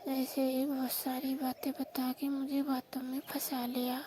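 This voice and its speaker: Female actor as young newlywed bride, plaintive and complaining, dark even tone, slightly noisy phone recording. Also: high voice, measured pace. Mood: anxious, confiding. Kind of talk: staged phone-call prank drama in Hindi.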